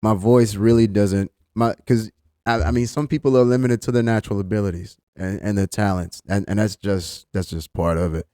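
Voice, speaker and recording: A man with a thick East Texas accent and a deep voice talking energetically as a podcast guest. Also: moderate pace, smooth, no echo, studio quality. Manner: animated, energetic talker. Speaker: guest, amateur comedian. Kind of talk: casual conversation.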